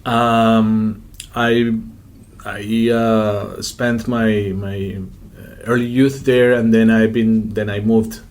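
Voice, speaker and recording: A man's voice: fairly low pitch, little pitch movement, very slow, darkish tone. A middle-aged man giving reflective interview answers, circling and repeating words as he feels his way. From Colombia. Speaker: interview guest, executive director of an NGO.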